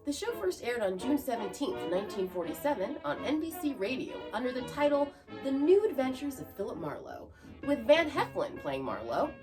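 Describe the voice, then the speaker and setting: A woman's voice: high voice, big pitch swings, normal speed. A woman hosting a podcast, reading scripted narration with chatty asides. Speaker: podcast host. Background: music.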